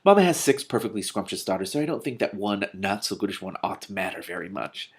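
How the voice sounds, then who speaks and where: A man's voice: on the low side, fast, slightly rough, pitched below the performer's own voice. A man voicing a cheerful, plain young princess in a storybook, chatty and content with herself. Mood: unbothered. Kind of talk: storybook read aloud.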